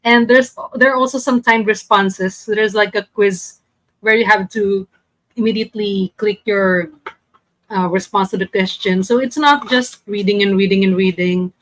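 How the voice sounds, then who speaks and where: A woman presents to a group in a casual, slightly hesitant way, feeling for her words. Her pitch is average and the sound is dry, with very little room. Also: fairly even intonation, normal speed, no echo, rough recording. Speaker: podcast panelist presenting a game.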